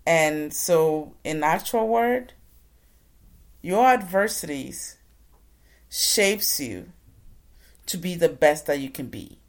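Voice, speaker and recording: A woman from Ghana speaks to an audience about her own life. Her voice sits quite low and sounds deep. She speaks with earnest, preacher-like conviction and addresses the listeners directly. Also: animated, takes it slowly, long pauses. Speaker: motivational speaker. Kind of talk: inspirational storytelling talk.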